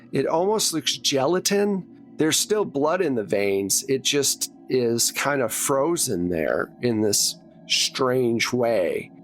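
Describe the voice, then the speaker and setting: Slow-talking man running a tabletop game. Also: mid-range voice. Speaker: game master. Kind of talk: narrating a tabletop horror roleplaying game. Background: background music.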